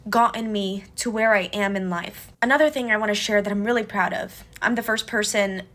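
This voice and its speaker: Young woman, Portuguese first language, earnest and emotional, mid-pitched. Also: a bit bright. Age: 20s. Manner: earnest, emotional, talks fast. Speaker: recent graduate. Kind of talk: personal social media video.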